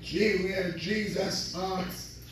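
A slow, measured man with a high voice preaches fervently, repeating his lines and drawing answers from the congregation. He sounds distant from the microphone in a booming, echoing sanctuary. Faint noise sits under the recording. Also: fairly even intonation, a bit bright. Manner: fervent preaching with repeated phrases and call-and-response. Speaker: assistant pastor preaching. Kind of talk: sermon. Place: large church sanctuary.

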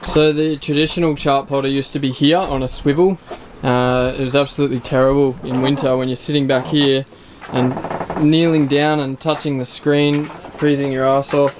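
A man in his thirties hosting a casual sailboat tour, explaining things in a relaxed way with plenty of fillers, recorded outdoors on deck. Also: mid-range voice, moderate pace, few pauses, a little hiss or hum, rough recording. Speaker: sailing vlog host. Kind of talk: casual boat tour walkthrough.

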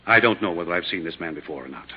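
Fast-talking, belligerent radio-drama doctor. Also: male voice, sits low, animated, slightly rough. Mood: defensive. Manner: indignant, curt, stubborn. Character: belligerent, defensive doctor resenting an investigation. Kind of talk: 1950s radio drama acting. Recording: dull, lo-fi old radio recording.

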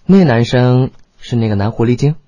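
A performer voicing a laid-back, teasing young man in love, casual and unhurried. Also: medium pitch, dark tone, dry recording, natural voice.

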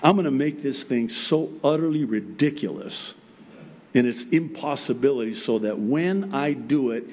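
Middle-aged pastor preaching, often chuckling. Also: male voice, mid-range voice, normal speed, dull audio, slight background noise. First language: Arabic. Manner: animated, chuckling often, acts out God's replies. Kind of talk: sermon. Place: church stage with wood pulpit and plants, indoors. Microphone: pulpit microphone.

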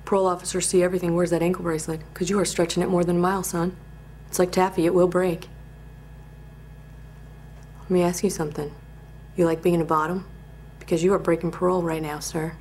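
Woman acting a low-voiced, tough parole officer. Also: flat delivery, a little mellow, slight background noise, lightly acted. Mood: menacing. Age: young adult. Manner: menacing, halting. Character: tough, rambling, threatening female parole officer. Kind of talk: comedy character monologue.

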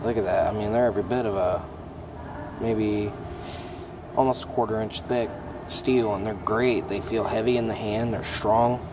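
A young mechanic casually showing his toolbox, talking in a relaxed, plainspoken way with plenty of ums and uhs. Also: lowish voice, moderate pace, over music. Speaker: mechanic giving a toolbox tour. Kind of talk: casual show-and-tell vlog. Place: auto repair shop, indoors. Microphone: phone held in hand, filming first-person. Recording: shop noise with air conditioning hum.

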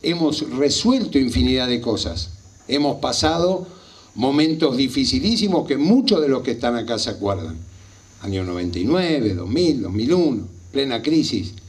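A man's voice: mid-range voice, very animated, moderate pace. A man in his fifties with a Rioplatense Argentine accent delivers an impassioned political speech, emphatic and repeating phrases for effect. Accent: Rioplatense Argentine Spanish. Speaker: local party politician. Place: small outdoor rally stage at night. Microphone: handheld microphone.

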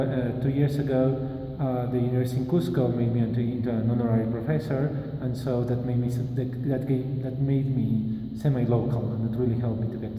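A man's voice: mid-range voice, warm tone. A man in his thirties from Peru, answering interview questions about a math school he started, thoughtfully and with many restarts, filmed at arm's length in a large empty classroom. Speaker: mathematician, school organizer. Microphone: phone camera at arm's length. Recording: roomy hall reverb, faint hiss.

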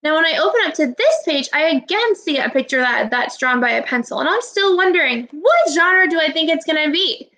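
A woman's voice: high-pitched, animated, a little fast, few pauses, pushed and bright. A woman in her twenties, an elementary teacher giving an encouraging, instructional read-aloud lesson to young children over a webcam. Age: 20s. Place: small indoor room at home. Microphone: webcam or laptop microphone, video call recording.

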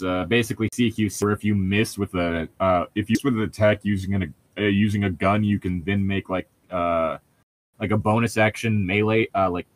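A man's voice: lowish voice, no room sound. A man casually joking with friends and reading a novel aloud as a podcast host on a voice call. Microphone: close mic on an online voice call.

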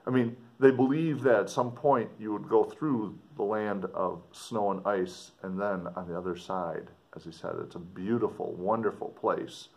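Middle-aged male teacher for classic-novel read-aloud lesson; lowish voice, expressive delivery, informal commentary. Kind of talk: reading a novel aloud to students with casual commentary. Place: indoors in front of a dark board.

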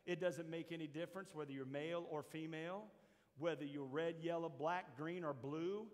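An older man preaching a sermon as pastor to his church, shifting from quiet asides to shouted points. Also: on the high side, fairly even intonation, normal speed. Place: large church hall with a seated congregation. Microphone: handheld mic, roaming the stage. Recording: long hall reverb.

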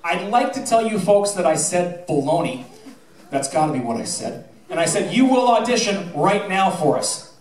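Man, middle-aged, theatre director, animated storyteller, echoey hall, distant recording. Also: high-pitched, normal speed. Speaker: high school theatre director. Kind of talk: informal speech to an audience, with anecdotes and thank-yous. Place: theatre stage, indoors. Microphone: handheld microphone through PA, filmed from the audience. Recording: roomy, distant audience-camera recording.

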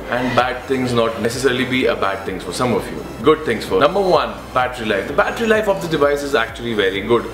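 Echoey young Indian man reviewing gear. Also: mid-range voice. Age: 20s. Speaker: motovlogger reviewing gadgets. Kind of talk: casual product review to camera. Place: indoors in a living room at home. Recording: roomy echo with clear background noise.

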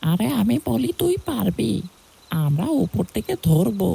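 Gravelly young village guy, blunt and urgent, swinging pitch, as a narrator puts him on for a cartoon. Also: big pitch swings, a little slow, dark tone, heavily acted.

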